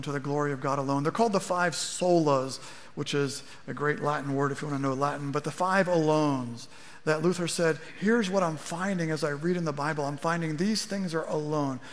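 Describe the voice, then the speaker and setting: A middle-aged male pastor preaching in a chatty, wry way, his voice a touch higher than most men's. He talks into a headset mic on a big church stage, and a long reverb trails behind his words. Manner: conversational preaching with wry asides, gesturing at a lectern. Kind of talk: sermon. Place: large church auditorium stage.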